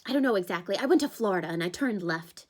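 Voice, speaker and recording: A woman's voice: medium pitch, lively intonation, fast talker, lightly acted. A woman voicing a jittery young male satyr who pleads and stammers in a panic. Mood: frantic.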